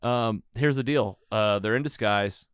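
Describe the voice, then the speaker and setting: A man's voice: lowish voice, a little slow, fairly bright tone, clear, resonant tone, no echo, clean studio sound. A man hosting a casual film recap chat, retelling scenes and acting out bits of dialogue as he goes. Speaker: podcast host leading a movie recap.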